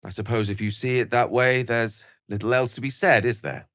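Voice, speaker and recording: A man's voice: mid-range voice, fairly even intonation, fairly quick, a bit bright, dry recording, clean studio sound, close to an everyday voice. A man voicing an eloquent, bookish wizard in a video game, articulate and theatrical, with ornate scholarly phrasing and a wry streak. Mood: courteous, wry. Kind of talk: scripted video game character dialogue.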